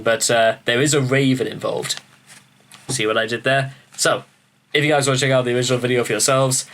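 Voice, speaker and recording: Rambling, joking man hosting reactions. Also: medium pitch. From Newcastle upon Tyne, northeast England. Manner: joking, swearing, self-mocking, often stammers on restarts; addresses viewers as ladies and gentlemen. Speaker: reaction video host. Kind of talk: rambling casual chat to camera.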